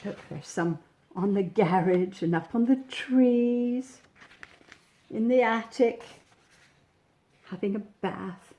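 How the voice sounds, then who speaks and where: A woman reading a storybook expressively to young children, gently chatting about the pictures as she goes. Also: medium pitch, slow, pauses often. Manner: gentle, animated, pointing out pictures with questions to the listener. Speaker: storybook reader.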